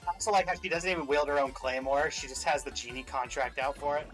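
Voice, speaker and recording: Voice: medium pitch, steady tone, fast. A reaction show host chatting casually with fannish opinions. The voice sits in a middle register, with faint music behind it.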